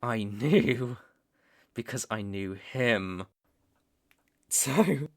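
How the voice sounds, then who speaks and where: A young British man playing a stern, taunting vampire hunter in a roleplay audio drama, speaking deliberately and with cool menace. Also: lowish voice, fairly bright tone, full character voice. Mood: grave, hostile. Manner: slow, deliberate, threatening.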